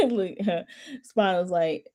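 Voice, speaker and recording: This is a woman hosting a casual watch-party chat, her voice sitting on the low side. She rambles and restarts her sentences, mostly in a flat monotone that jumps high now and then, with laughs between thoughts. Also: drawn-out words. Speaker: host of a watch party stream.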